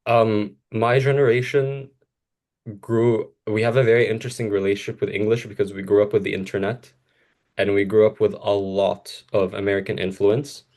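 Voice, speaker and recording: A young man with a fairly low voice, chatting casually as a co-host. He is from Egypt and his first language is Arabic. He rambles through restarts and laughs a lot. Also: level tone, moderate pace, a little mellow. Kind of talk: casual conversation.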